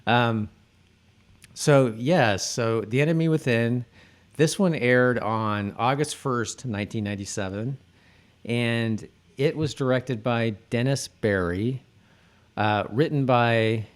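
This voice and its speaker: A man co-hosting a podcast in casual chat, rambling and often correcting himself mid-sentence. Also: lowish voice. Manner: rambling, halting, self-correcting. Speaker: podcast co-host. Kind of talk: casual chat about a TV episode.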